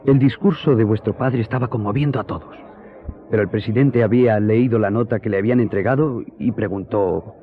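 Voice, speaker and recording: An actor in a radio drama playing an earnest friend who recounts a hearing in formal, measured storytelling. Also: male voice, on the low side, lightly acted. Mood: grave, earnest. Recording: tinny, narrow-band audio.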